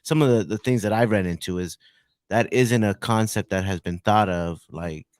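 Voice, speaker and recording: A man's voice: fairly low pitch, normal speed, darkish tone, no room sound, studio quality. A man hosting a casual interview, prompting guests with frequent short agreements. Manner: encouraging, frequent short backchannels. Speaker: podcast host.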